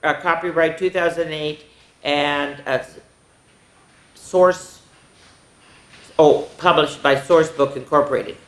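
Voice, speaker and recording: A woman's voice: deep voice, steady tone, slow pace, pauses often. A woman hosting a children's story time, reading aloud with enthusiasm and chatty asides, stumbling now and then. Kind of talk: animated read-aloud for young children. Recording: roomy, echoing room sound.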